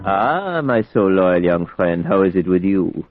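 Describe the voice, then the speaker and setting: Elderly vampire hunter, lowish pitch, grave, deliberate, insistent, old radio drama, narrow tinny sound. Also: male voice, sing-song, music in the background. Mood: sorrowful, gentle. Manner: solemn, deliberate, foreign phrasing. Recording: muffled, narrow-band old radio recording.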